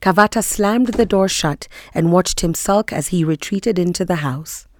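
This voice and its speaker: A woman's voice: fairly low pitch, moderate pace, lightly acted. A woman reading scripted narration from a novel.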